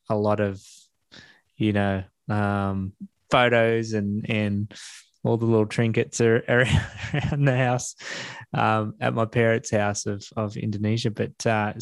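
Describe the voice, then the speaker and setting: A man's voice: lowish voice, clean tone, no room sound. A man hosting a casual interview, chatty and enthusiastic, often restarting his sentences. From Australia. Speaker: podcast host.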